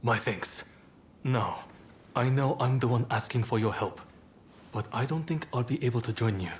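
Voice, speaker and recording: A man playing a composed, courteous samurai rebel leader in a video game, with formal, measured phrasing. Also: mid-range voice, a little hiss or hum, close to an everyday voice. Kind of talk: dramatic video game voice acting.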